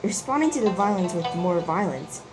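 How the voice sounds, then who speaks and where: A woman's voice: lowish voice, lively intonation, poor audio. A woman giving casual, hesitant playthrough commentary as a game streamer. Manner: hesitant, halting reactions. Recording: voice over clearly audible game music.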